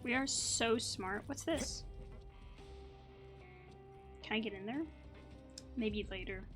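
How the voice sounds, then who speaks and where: Gaming host: woman, fairly high voice, casual think-aloud commentary, playful asides, music underneath. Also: slow pace. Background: game music.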